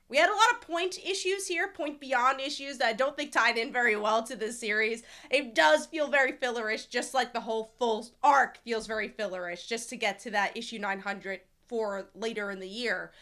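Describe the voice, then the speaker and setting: A woman with a bright, slightly high voice and a stuffy nasal tone from a cold gives a casual comic review. She talks in a chatty way, full of fillers and restarts, and her pitch keeps jumping up with enthusiasm. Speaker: comic book reviewer, channel host. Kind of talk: casual review talk to camera.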